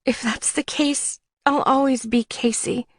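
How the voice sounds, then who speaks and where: A woman's voice: sits high, steady tone, measured pace, dry recording, clean studio sound, voice pushed up. A woman voicing a weary, insecure young woman in an audiobook, stubborn, bitter and defiant. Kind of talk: audiobook character dialogue.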